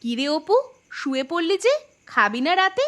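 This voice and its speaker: A woman's voice: very high-pitched, very animated, moderate pace, a bit bright, exaggerated voice. A woman voicing a fussing, insistent village mother in a fairy tale cartoon. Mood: concerned. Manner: insistent, questioning. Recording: music under the voice.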